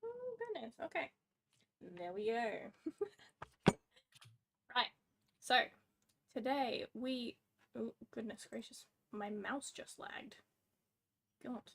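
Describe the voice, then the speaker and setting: Woman, casual streamer, slow delivery, gravelly fry, self-mocking and scattered. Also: fairly high pitch, big pitch swings, a little hiss or hum. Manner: scattered, self-mocking, narrates her own fumbles. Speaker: livestream co-host. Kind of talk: casual livestream chat.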